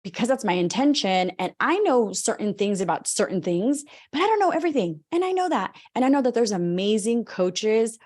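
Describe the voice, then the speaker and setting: A woman's voice: a little high, sing-song, brisk, hardly any pauses, darkish tone. A woman hosting a podcast, eager and chatty, running her sentences together as she introduces a guest. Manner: eager, talks in long run-on sentences. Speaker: podcast host. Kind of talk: podcast interview, enthusiastic intro.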